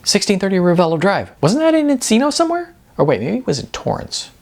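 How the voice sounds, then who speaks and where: An excitable young slacker guy, voiced by a male audiobook reader, pitched up and swinging widely. He talks in slangy, incredulous bursts and sounds easily amazed, with a slightly gravelly edge. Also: fast talker, full character voice. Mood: excited. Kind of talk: audiobook character dialogue.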